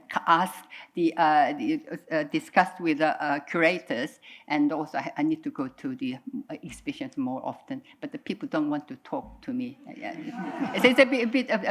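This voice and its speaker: A woman giving hesitant remarks at an academic conference. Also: sits low. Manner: hesitant, many fillers and restarts. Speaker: conference presenter. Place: conference hall.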